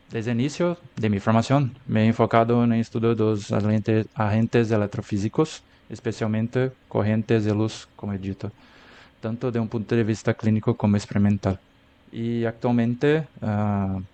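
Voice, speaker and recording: A man's voice: on the low side, dark tone. A man from Brazil with a Brazilian Portuguese accent, a native Portuguese speaker, answering interview questions as a guest carefully with frequent "ah" fillers. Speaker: physiotherapy researcher, guest.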